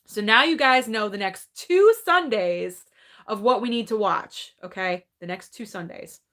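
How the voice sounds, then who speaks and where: A woman's voice: medium pitch, animated, measured pace, fairly bright tone. A woman in her thirties hosting a casual live movie Q&A at home, chatty and upbeat, reading viewer comments and thinking aloud. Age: 30s. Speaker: movie channel host.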